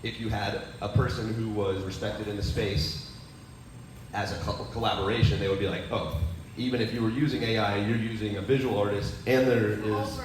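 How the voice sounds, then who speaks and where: A man's voice: on the low side, steady tone, normal speed. Man in the audience, rambles thoughtfully, heard from across an echoey room. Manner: rambling, thoughtful, many fillers. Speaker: audience member giving feedback. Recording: very echoey room, distant mic, faint noise.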